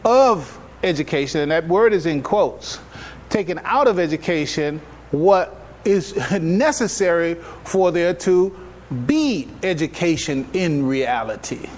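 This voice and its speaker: A man giving a religious lecture as an imam, conversational and halting, repeating phrases and checking in with his listeners. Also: on the high side, lively intonation. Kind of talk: religious lecture, explaining to an audience.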